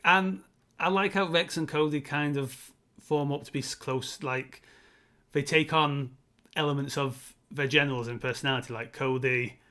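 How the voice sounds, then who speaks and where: A man's voice: on the high side, moderate pace, sharp tone. A man co-hosting a casual film discussion, talking in a rambling, enthusiastic fan's way. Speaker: co-host of a film discussion show.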